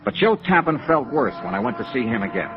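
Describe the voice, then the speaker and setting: Old lo-fi radio noir: male actor plays persistent American investigator, clipped and matter-of-fact, with a very varied pitch. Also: very animated, fast, over music, lightly acted. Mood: brooding. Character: world-weary, persistent male insurance investigator narrating his case. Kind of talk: 1950s radio noir acting and narration. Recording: dull vintage radio recording.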